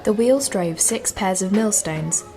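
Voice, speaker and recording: A woman's voice: mid-range voice, measured pace, gravelly, over music. A woman giving scripted informational narration as a guide. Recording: noisy ambience with crowd sounds.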